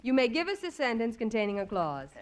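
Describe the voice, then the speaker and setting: A prim, brisk schoolteacher in an old radio comedy. Her voice is high and very animated, with a crisp, orderly classroom manner. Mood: patient, correcting. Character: prim, brisk female night school teacher. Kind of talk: 1950s radio comedy acting.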